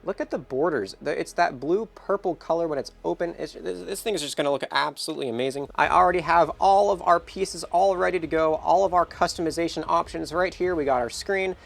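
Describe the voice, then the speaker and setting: A man's voice: on the high side, fairly quick, creaky, hardly any pauses. A man with a slightly congested, nasal voice talks through a hands-on build in a casual, upbeat tutorial style. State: nasal from feeling under the weather. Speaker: host of a console-building tutorial channel.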